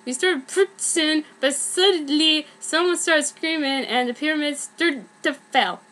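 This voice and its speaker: A woman's voice: high voice, measured pace, a bit bright. A woman giving a mock-dramatic, theatrical amateur reading of a story. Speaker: reader of fan fiction.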